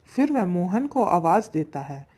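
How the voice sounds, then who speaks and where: Woman narrator telling a kids cartoon fable, scripted, voice a bit on the low side. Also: sing-song, measured pace, mellow, slightly rough.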